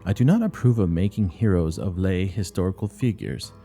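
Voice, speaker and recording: Guy playing a strict old nun principal, low dark voice, curt and preachy, faint music behind. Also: animated, fairly quick, vocal fry. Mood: brisk, watchful. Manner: curt, severe, moralizing. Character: stern, strict older nun and school principal. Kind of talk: dramatic play reading.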